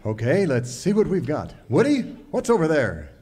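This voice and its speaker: Pompous, husky cowboy hero. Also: male voice, on the high side, wildly swooping pitch, normal speed, warm tone, exaggerated voice. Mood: confident, grand. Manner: grand, formal, self-satisfied. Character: pompous, heroic, upright cowboy ranger leader. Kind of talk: old-time radio serial parody.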